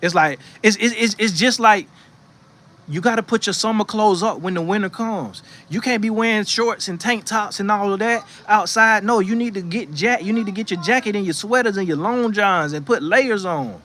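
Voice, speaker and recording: A man talks from his porch, his voice sitting a bit high for a man. He encourages listeners in a loose, preachy way, restarting phrases and tossing in "you know what I'm saying". Faint outdoor sounds hang behind him on a phone livestream. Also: moderate pace, fairly bright tone, slight background noise. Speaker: host of a faith livestream. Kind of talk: casual spiritual encouragement, reading chat.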